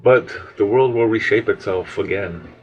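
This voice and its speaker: A man telling a biblical story in an improvised, conversational way, halting and rambling as he reflects. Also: on the low side, darkish tone. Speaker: storyteller, podcast host. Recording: dull lo-fi audio with background noise.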